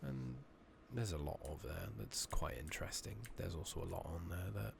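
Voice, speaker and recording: A British man murmurs softly in a very deep, crackly voice with plenty of fry. He muses hesitantly and casually as he plays a game, ASMR style. The close microphone carries clear background noise. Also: expressive, moderate pace. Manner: rambling, hesitant, casual musing. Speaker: streamer playing an online fantasy game. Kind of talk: soft-spoken, whispering ASMR game commentary. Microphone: close makeshift microphone. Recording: noisy, slightly loud close microphone.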